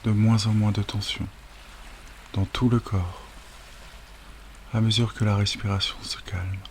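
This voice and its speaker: A man guiding a sleep meditation as a yoga nidra teacher, speaking calmly and soothingly with long, unhurried pauses. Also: fairly low pitch. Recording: steady wave noise over the voice. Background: sound of ocean waves.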